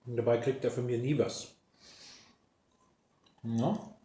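A man chatting casually to the listener in an ASMR style, unhurried and pausing mid-sentence, indoors at a breakfast table. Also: medium pitch, fairly even intonation, mellow. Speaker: host. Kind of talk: casual ASMR role-play chat to the listener. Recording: roomy, echoey sound with faint noise. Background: clinking dishes and clicks.

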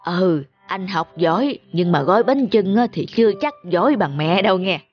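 A woman playing a gentle, nostalgic elderly grandmother in a cartoon, speaking in a tender, homely, wistful way. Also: fairly low pitch, animated, normal speed, muffled recording, lightly acted. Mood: fond. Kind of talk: animated cartoon dialogue.

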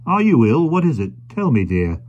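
A man's voice: mid-range voice, sing-song, drawn-out words, fairly bright tone, slightly rough, highs cut off, voice pushed up, heavily acted. A man voicing an earnest, devoted, plain-spoken husband in a storybook reading. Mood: alarmed, tender. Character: earnest, slow-witted, devoted husband, a carrier. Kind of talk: audiobook character voice.

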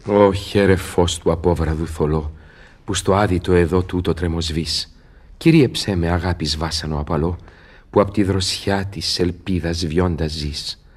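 A tormented scholar in love in a radio play. His voice is very low and husky, and he declaims verse with solemn passion. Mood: rapt, tender. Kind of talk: theatrical radio drama verse acting.

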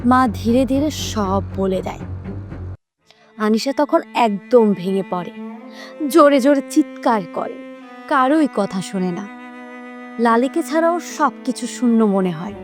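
A woman's voice: on the high side, animated, a little slow, pauses often, faint music behind. A woman narrating a scripted story for children as a storyteller.

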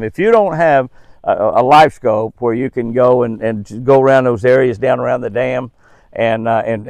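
An older man talks straight to camera in a folksy way. His pitch sits in the middle for a man, and he likes to repeat a phrase to drive it home. The sound is dry and open, recorded outdoors. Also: a little mellow, no echo. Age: 70s. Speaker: host of a devotional and fishing tip show. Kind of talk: folksy devotional talk and fishing tips to camera. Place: outdoors in a wooded park.

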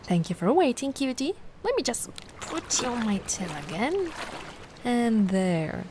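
A giant mermaid girl, acted by a woman in an ASMR roleplay, with a high voice that swoops and lilts widely. She is bubbly and affectionate, cooing and gushing in a cutesy way. Ambient noise runs clearly behind her. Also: sing-song, a little slow. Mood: sweet, caring. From Italy. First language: Italian. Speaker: roleplay performer.

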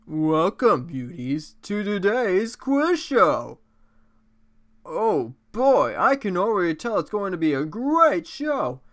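Flamboyant, swooping robot game-show host. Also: male voice, sits high, sing-song, a bit bright, voice pushed up, exaggerated voice. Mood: showy. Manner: theatrical, campy, drawn-out. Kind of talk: video game character impressions.

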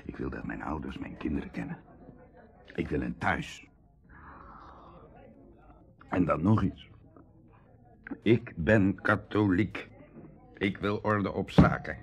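An actor playing a proud, hard-up aristocratic bachelor in a radio drama, confiding and passionate, with emotional, dramatic delivery shifting from hushed to outbursts. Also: male voice, deep voice, slow, gravelly, pauses often, exaggerated voice. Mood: determined. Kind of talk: Dutch radio drama acting.

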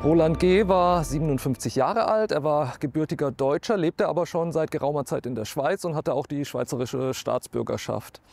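A man's voice: fairly high pitch, normal speed, vocal fry, few pauses, darkish tone, faint music behind. A man in his thirties or forties, a true-crime TV expert, retelling a case factually on camera outdoors into a lapel mic. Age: 30s or 40s. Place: outdoors in town.

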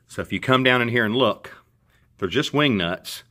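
A middle-aged man hosting a casual how-to walkthrough in his garage, relaxed and plain-spoken, with a few restarts as he explains. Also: medium pitch, normal speed. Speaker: host. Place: indoor garage.

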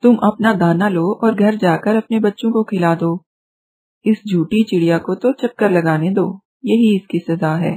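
A woman voicing a wise male parrot elder in a children's story, measured and authoritative. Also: medium pitch, dark tone, husky, natural voice, low-quality recording. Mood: firm.

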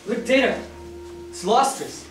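A man's voice: very high-pitched, expressive, a little slow, fairly bright tone, exaggerated voice. A young man playing an earnest, lovestruck slave in a stage play, speaking ardently. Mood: smitten. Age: young adult. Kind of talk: amateur romantic stage acting. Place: small theatre stage. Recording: very echoey theatre, distant, music behind.

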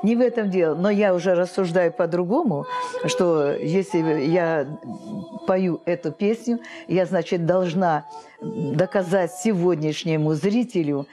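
An older woman, a choir director, speaking as an interview guest in an expressive, theatrical way. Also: fairly low pitch, a little slow, over music. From Russia. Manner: expressive, theatrical, acts out quotes and song lines. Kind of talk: reflective interview storytelling. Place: indoor choir rehearsal room.

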